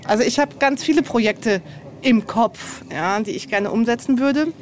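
A woman's voice: fairly high pitch, moderate pace, darkish tone. A woman speaking casually as an interview guest on location, thinking aloud and repeating words as she goes. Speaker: novelist, guest. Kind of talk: casual conversational interview answers. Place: on location at an old castle.

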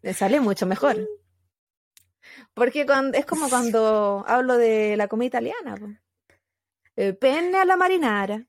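A woman with a Chilean accent telling a true crime story in casual podcast chat, animated, with fillers and laughs. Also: fairly high pitch, measured pace, pauses often, no room sound, clean studio sound.

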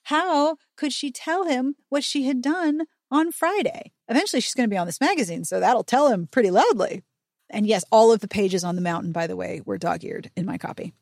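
A woman with a fairly high voice, recorded close and dry. She recaps in a chatty, opinionated way as a podcast host, dropping wry, emphatic asides. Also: expressive, fairly quick, darkish tone, no echo.

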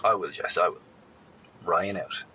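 A faint rumbling noise sits under a very deep, crisp-edged male voice. It is a man acting a careful, kind wasteland survivor in a horror audio drama, measured and a little hesitant as he reports in over the radio. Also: pushed and bright. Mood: uneasy. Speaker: actor.

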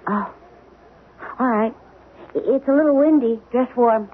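An actress in a 1970s radio drama playing a gentle, hesitant wife who worries about her husband. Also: a little high, measured pace, slightly rough. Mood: caring, uneasy. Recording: muffled, band-limited 1970s radio broadcast.

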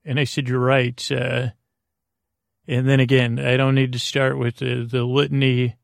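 A middle-aged American man hosting a sleep podcast with a rambling, halting, self-deprecating bedtime monologue. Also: mid-range voice, level tone, gravelly, no room sound, clean studio sound.

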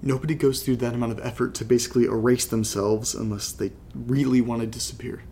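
An actor playing a troubled young man recording a halting, confessional audio diary for a horror drama, his voice slightly congested. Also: medium pitch, fairly even intonation, warm tone, a little hiss or hum, close to an everyday voice. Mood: troubled, searching.

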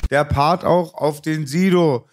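A man's voice: fairly high pitch, fairly even intonation, takes it slowly, a little hiss or hum. A man in a casual chat, joking and playful, often breaking off mid-sentence.

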